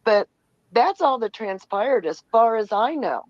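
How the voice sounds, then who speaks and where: A woman's voice: on the high side, animated, unhurried, no echo. Woman chatting over dull, dry lo-fi audio. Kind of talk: casual explanatory gossip.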